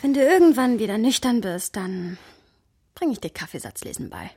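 A woman's voice: on the high side, very animated, moderate pace, mellow, husky, dry recording, heavily acted. A woman playing a young woman who narrates a radio play and teases her friend, wry and observant. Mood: playful. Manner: wry, observant storytelling mixed with playful dialogue.

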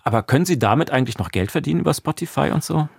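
A man's voice: fairly high pitch, lively intonation, racing, vocal fry, slightly rough, no room sound, studio quality. A man hosting a conversational radio interview, asking probing questions and following up briefly. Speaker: radio talk show host, interviewer.

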